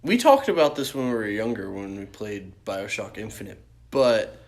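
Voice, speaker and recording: A man co-hosting a podcast in casual chat, animated and freely swearing. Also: lowish voice, normal speed. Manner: profane, animated. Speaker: podcast host.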